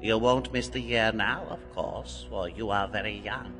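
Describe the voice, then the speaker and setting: An actor playing a mysterious, grave bargain-maker in a dramatized audiobook, coaxing and solemn in deliberate, theatrical phrases. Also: male voice, lowish voice, natural voice. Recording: long hall reverb, music underneath.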